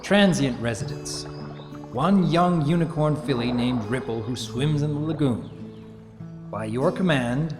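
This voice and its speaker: A man voicing an impatient, curt but soft-hearted unicorn baron in a dramatic story reading. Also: mid-range voice, measured pace, music in the background, natural voice. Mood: dry, official. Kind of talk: dramatic reading of fan fiction.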